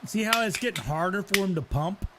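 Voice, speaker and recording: Man, high voice, casual storyteller, conversational, sweary, repetitive for emphasis. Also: expressive, brisk, background noise. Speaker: storyteller and host reacting to a video.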